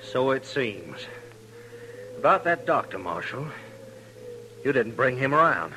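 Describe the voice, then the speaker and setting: A man's voice: mid-range voice, very animated, a little slow, pushed and bright. A man playing a hard, determined manhunter in a 1950s radio western, with a clipped, pointed delivery. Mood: suspicious. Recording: noisy 1950s radio recording.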